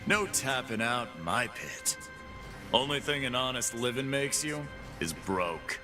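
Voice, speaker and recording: Man doing a brawling fight-pit boss, gruff, boastful, unhurried drawl, swooping pitch, game voice lines over music. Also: lively intonation, close to an everyday voice. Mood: cocky. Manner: gruff, boastful trash talk. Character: cocky brawling male fight-pit boss, swaggering tough.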